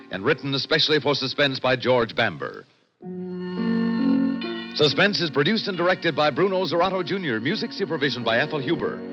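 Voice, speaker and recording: Dramatic, swooping male radio announcer. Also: mid-range voice. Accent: American. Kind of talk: 1960s radio announcing, scripted credits. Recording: muffled old broadcast, loud piano music.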